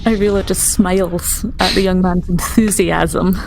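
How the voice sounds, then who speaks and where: Lady doing rpg narration, casual n unhurried, little bit of noise behind her. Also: fairly low pitch, steady tone, creaky. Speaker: tabletop role-play player. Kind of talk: casual improvised game narration.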